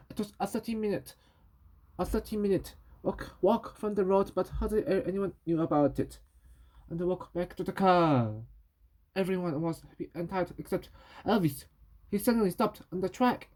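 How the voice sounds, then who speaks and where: A woman reading a children's story aloud in a halting way, repeating and correcting words as she goes. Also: on the low side, dark tone, low-quality recording.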